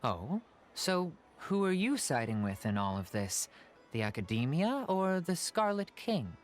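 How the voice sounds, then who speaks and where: A young male convert in an anime game. The delivery swings widely in pitch, pointed and scornful, needling as it accuses. Faint music is underneath. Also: sing-song, unhurried. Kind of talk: anime video game voice acting.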